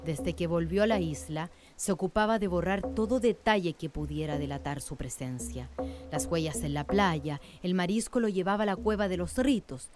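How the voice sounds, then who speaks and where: A woman's voice: fairly low pitch, normal speed. A woman narrating a scripted radio drama in a literary storytelling manner. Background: music.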